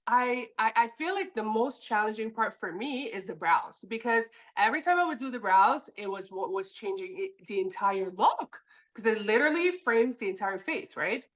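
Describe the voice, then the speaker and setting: A French Canadian woman, a native French speaker, chatting in a lively way as a podcast guest. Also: a little high, moderate pace, poor audio. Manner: lively, chatty, laughs easily. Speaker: podcast guest, permanent makeup educator. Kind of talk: podcast interview.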